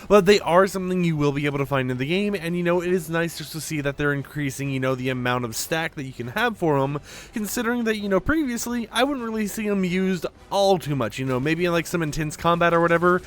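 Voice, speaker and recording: A young man with a sore throat, talking a bit quieter as he rambles casually through a gaming update on his channel. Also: on the high side, fast talker, slight background noise. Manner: talkative, rambling with many fillers. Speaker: gaming news YouTuber. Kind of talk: casual game update commentary.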